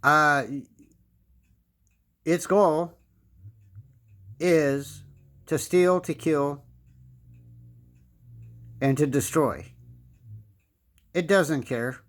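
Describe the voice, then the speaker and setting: A man's voice: a little high, extremely slow, long pauses. Emphatic American man in his fifties. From United States. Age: 50s. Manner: emphatic, lecturing, repeats phrases for stress. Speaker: political commentator. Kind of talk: opinion monologue.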